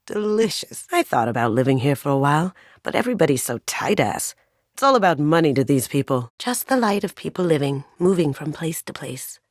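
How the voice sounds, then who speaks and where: A sly young lady thief for a sci-fi game, wry n playful, lowish voice, really dry recording. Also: big pitch swings, fairly quick, dry recording. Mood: wry, relaxed. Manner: wry, playful, coolly confident. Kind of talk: video game character voice acting.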